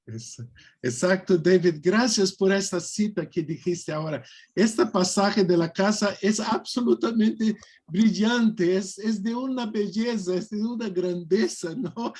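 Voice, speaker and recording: A man with a fairly high voice talks slowly and thoughtfully. He speaks in a casual online study group over a video call, with gentle laughs along the way. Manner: slow, warm and reflective, with soft laughs. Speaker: speaker leading a faith study talk.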